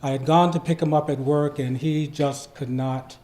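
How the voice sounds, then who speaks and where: A man giving informal, personal remarks to an audience in a reflective, halting way before a reading. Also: on the high side, fairly even intonation, mellow. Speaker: speaker introducing a dramatic reading at a community event.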